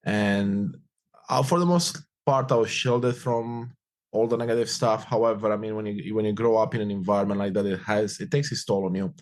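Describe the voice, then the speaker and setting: A man's voice: fairly low pitch, fairly even intonation, moderate pace. A man in his forties, from Romania with Romanian as his first language, talking upbeat and reflective as an interview guest, often repeating phrases. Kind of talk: casual interview conversation.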